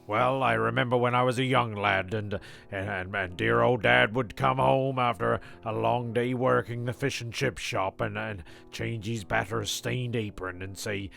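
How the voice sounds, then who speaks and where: Narrator, played by a man: mid-pitched, gravelly, rambling, self-important comedy podcast storyteller. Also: fairly even intonation, moderate pace, a bit bright. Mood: nostalgic. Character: rambling, indignant podcast narrator telling absurd anecdotes. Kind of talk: comic monologue and scripted podcast. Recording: music behind the voice.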